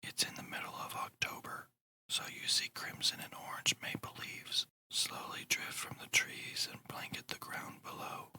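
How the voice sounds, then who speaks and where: A narrator speaking entirely in a breathy whisper, guiding a meditation in a slow, soothing, deliberate way in ASMR style. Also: sharp tone.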